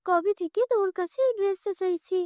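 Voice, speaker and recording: A woman's voice: very high-pitched, brisk, darkish tone, no room sound, clean studio sound, voice pushed up. A woman voicing a spoiled, spiteful young stepsister in a cartoon, bossy and whining.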